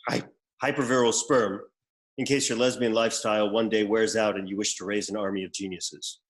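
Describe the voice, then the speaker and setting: A man playing a pompous, deadpan executor who reads out bequests in a comedy script table read. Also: lowish voice, brisk, close to an everyday voice. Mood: formal, solemn. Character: pompous male executor reading out an eccentric bequest.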